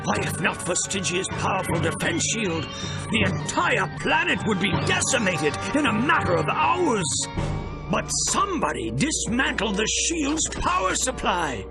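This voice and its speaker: An actor playing a sleazy, gleeful villain who hosts a TV promo in a smarmy, mock-concerned, drawn-out way for a game. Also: male voice, high-pitched, wildly swooping pitch, over loud music, full character voice. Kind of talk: theatrical TV promo hosting.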